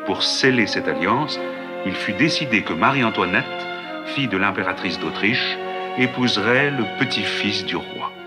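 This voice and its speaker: An actor with a French accent from France playing the solemn storyteller of an animated historical saga, reading scripted narration. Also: male voice, lowish voice, moderate pace, loud music behind the voice, natural voice.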